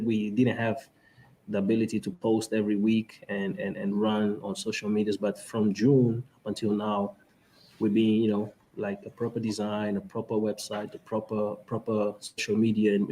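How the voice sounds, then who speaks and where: A man from Angola with an Angolan Portuguese accent, hosting a podcast and talking in a halting way with many fillers and restarts. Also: fairly low pitch, mellow, a little hiss or hum. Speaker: podcast host. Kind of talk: casual conference talk.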